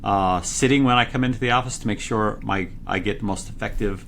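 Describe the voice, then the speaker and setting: A man, a product engineer, explaining products in a conversational interview, excitable and loud when he gets going, with many restarts. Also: fairly low pitch, brisk, vocal fry, a little hiss or hum. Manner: frequent fillers and restarts.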